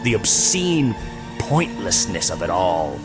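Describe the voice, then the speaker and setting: A man's voice: low-pitched, very animated, takes it slowly, over music, full character voice. A man playing a nefarious, wizened space count in a radio serial, with grandiose, menacing theatrical delivery. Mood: grandiose, bitter. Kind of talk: comedic radio serial villain acting.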